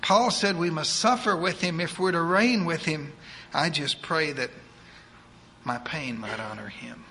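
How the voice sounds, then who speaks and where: A woman's voice: low voice, a little slow, pushed and bright. A man voicing a bossy, devout older woman, in a preachy sing-song manner. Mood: hushed.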